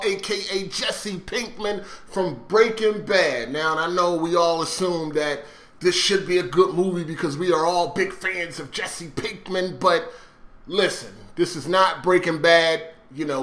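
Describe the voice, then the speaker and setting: A man's voice: high-pitched, bright, forceful tone. A man giving casual, opinionated gaming commentary in a blunt, sweary way, often checking in with the listener. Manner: blunt, swearing, repeated conversational check-ins. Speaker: gaming video host. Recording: roomy, echoey sound.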